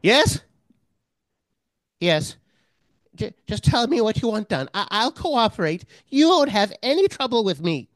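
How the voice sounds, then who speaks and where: Woman as nervous middle-aged male prisoner, radio drama, stammering, ingratiating, lively intonation, dry sound. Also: a little high, a little slow, long pauses, no room sound. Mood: cowed. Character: timid, eager middle-aged male prisoner, pathetically loyal party man.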